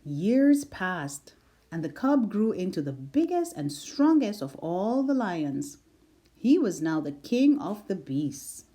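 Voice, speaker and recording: A woman reading a children's picture book aloud as storyteller, slow and expressive. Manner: slow and expressive, big pitch swings.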